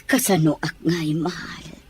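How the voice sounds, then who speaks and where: Woman playing a low-voiced, regretful ailing mother. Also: fairly even intonation, a little slow, a little hiss or hum. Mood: hurt, pleading. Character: ailing, rejected, regretful middle-aged mother. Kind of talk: Ilocano radio drama acting.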